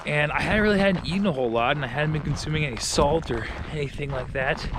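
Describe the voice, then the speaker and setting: A young man from Minnesota with a loud voice, a real estate agent chatting casually to camera while walking his dog outdoors, rambling with restarts. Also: a little high, fast talker. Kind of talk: walking vlog talking to camera. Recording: outdoor noise and passing vehicles.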